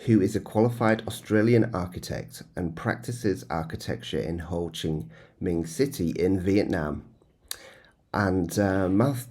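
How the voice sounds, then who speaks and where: A man hosting a podcast interview, speaking in a measured way with some hesitant restarts. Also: sits low, mellow. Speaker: podcast host.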